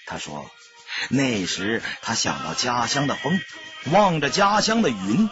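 A man narrating an audiobook in a dramatic storytelling style, with a husky, raspy voice. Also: mid-range voice, huge pitch swings, unhurried, dull audio, music in the background.

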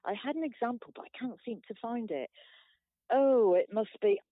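A woman's voice: fairly high pitch, normal speed, dry recording, natural voice. A woman playing a frazzled, eager mother with a flustered, rambling manner in an amateur radio play. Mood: apologetic, rambling. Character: Helen, frazzled, eager mother at community meeting. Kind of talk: amateur radio play, video-call meeting. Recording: thin, dry phone line.

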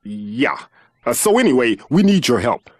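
Earnest, low-voiced sidekick. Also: female voice, very animated, moderate pace, dry recording, exaggerated voice. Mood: urgent. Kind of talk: cartoon video game acting. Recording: loud music behind the voice.

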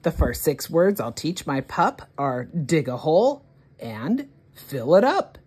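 A woman hosting story time, reading a children's picture book aloud in an expressive, deliberate way for young children. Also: low voice, slightly rough.

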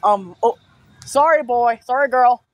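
A young man vlogging while walking outside, talking excitedly and repeating himself about an approaching storm, filmed on a handheld phone. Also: very high voice, measured pace, no echo. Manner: excited, repetitive exclamations. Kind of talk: casual walking vlog. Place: outdoors on a small-town street. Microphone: phone camera held at arm's length. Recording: outdoor street noise.

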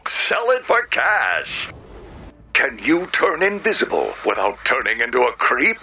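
Bombastic robot movie-trailer announcer. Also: male voice, mid-range voice, wildly swooping pitch, bright, forceful tone, husky, full character voice. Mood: booming sales pitch. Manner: grandiose, theatrical, drawn-out.

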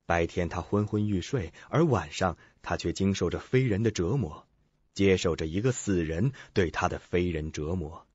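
A man's voice: deep voice, animated, normal speed, a little mellow, breathy edge, dry recording. A man narrating a scripted suspense radio drama in a dramatic, ominous storytelling manner. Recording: dull lo-fi recording with music bed.

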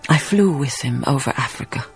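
An actress playing a reflective woman memoirist, narrating a radio drama in a measured, lyrical way, savouring her phrases. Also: very deep voice, expressive, faint music behind. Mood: rapt, nostalgic. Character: reflective, poetic woman memoirist recalling colonial farm life.

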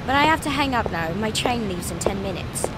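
An actress playing an ambitious, chatty woman journalist in an audio drama, expressive and easily flustered. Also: on the high side, brisk, very noisy, lightly acted. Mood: breezy, cheeky. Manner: expressive, stammers when flustered. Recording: loud city street ambience behind.

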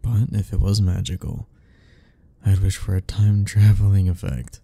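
A man's voice: sits low, flat delivery, normal speed, vocal fry, dark tone, breathy edge, exaggerated voice. A man playing an anxious, sarcastic, self-deprecating young man in a romantic ASMR roleplay, speaking in a wry, rambling way to the listener. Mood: awkward, teasing. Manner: sighing, wry, rambling, chuckling.

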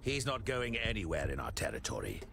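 A man's voice: low voice, wildly swooping pitch, brisk, gravelly, heavily acted. An actor playing a cold, calculating male puppet-making villain in a game. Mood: menacing. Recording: game audio with ambient noise.

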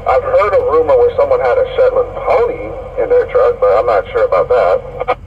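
Trucker guy on cb radio, dull distant speaker, casual storytelling, medium pitch. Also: sing-song, moderate pace. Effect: CB radio transmission. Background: faint vehicle noise.

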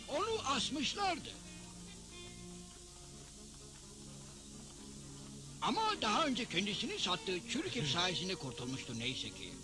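High, animated cartoon sidekick. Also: male voice, rough recording. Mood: wry storytelling. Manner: expressive, explanatory storytelling. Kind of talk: dubbed cartoon acting.

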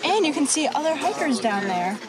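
A young woman narrating a casual travel vlog, calmly explaining the route. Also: high voice, fairly quick, over a noisy crowd, low-quality recording. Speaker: travel vlogger. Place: outdoors on a snowy canyon rim. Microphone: phone camera at arm's length.

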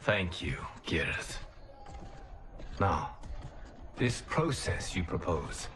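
A man's voice: sits low, sing-song, extremely slow, a bit bright, soft background music, full character voice. A man playing an earnest, noble warrior god and burdened leader in a video game cutscene, formal and thoughtful in delivery. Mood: questioning, grateful. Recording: clear background ambient noise.

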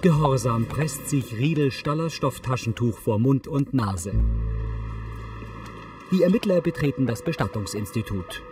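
A man reading scripted narration for a TV series in a measured, matter-of-fact way. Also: medium pitch, lively intonation. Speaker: audio description narrator. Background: music.

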